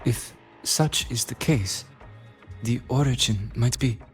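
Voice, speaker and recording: A man's voice: medium pitch, soft background music, close to an everyday voice. A man playing a courteous, scholarly video game character who speaks formally with stately phrasing. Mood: composed, deliberate. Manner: formal, deliberate. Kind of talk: scripted game dialogue.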